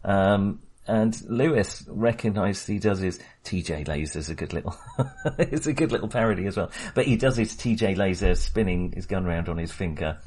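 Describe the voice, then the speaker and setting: Husky-voiced man chatting about a film. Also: sits low, moderate pace, a little mellow. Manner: chatty, hesitant, many fillers and restarts, laughs often. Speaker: podcast co-host. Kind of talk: casual film discussion.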